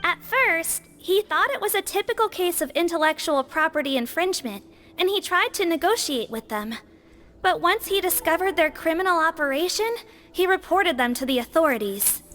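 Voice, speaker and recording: A woman's voice: very high-pitched, lively intonation, normal speed, fairly bright tone, soft background music. An actress playing a small, precise and earnest female law officer in a video game. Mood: explanatory.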